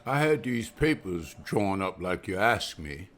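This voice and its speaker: A man reading the part of a cautious, businesslike male bank official at a script table read, in a measured, guarded manner. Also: deep voice, very animated, fairly bright tone, exaggerated voice.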